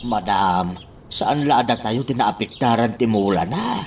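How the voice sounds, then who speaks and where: A man's voice: lowish voice, animated, unhurried, gravelly, a little mellow, a little hiss or hum. A man playing a meek, deferential worker who makes excuses in an Ilocano radio drama. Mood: meek, apologetic. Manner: deferential, hesitant. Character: meek male worker making excuses to his boss.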